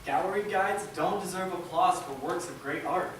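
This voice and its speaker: A woman's voice: on the low side, moderate pace. Woman narrating in a very echoey hall. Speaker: reader in a readers' theatre group. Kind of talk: dramatic scripted narration. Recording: echoey hall, distant mic, loud noise.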